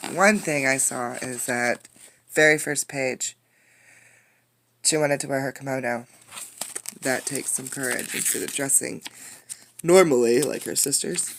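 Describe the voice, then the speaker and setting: A woman reading a picture book aloud as a teacher, expressively, pausing to put questions to young listeners. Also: very deep voice, slight background noise. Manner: expressive storytelling, addressing young listeners directly. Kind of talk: interactive children's read-aloud with questions.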